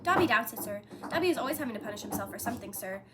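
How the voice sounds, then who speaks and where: A woman putting on a squeaky fretful house elf. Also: expressive, very fast, heavy background noise, close to an everyday voice. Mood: anxious. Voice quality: high-pitched. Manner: servile, calls everyone sir, speaks of himself in third person. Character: small male house elf, servile, anxious, tearful.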